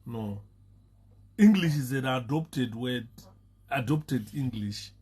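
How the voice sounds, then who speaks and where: A man's voice: mid-range voice, animated, takes it slowly, a little mellow, slightly rough. A Zimbabwean man talking casually on a live stream, stopping and repeating words and laughing often. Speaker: Facebook live host.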